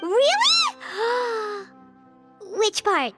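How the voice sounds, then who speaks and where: A tiny fairy sidekick, squeaky and extremely high, swooping wildly in pitch. She is cutesy, curious and excitable, like a small child in a video game. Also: extremely slow, over loud music, heavily acted. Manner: exclamatory, cutesy.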